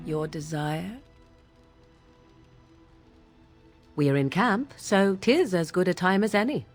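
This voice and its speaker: A woman's voice: fairly low pitch, expressive, soft background music, close to an everyday voice. Woman playing a proud, guarded young witch. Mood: guarded, irritated. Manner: haughty, dramatic, halting under emotion. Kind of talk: video game character acting.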